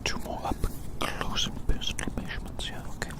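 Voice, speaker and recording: Soft whisper, breathy, ASMR roleplay, fussy, repetitive, close attention to the listener. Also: a little fast. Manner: repetitive, fussy, murmuring to the listener as if examining them.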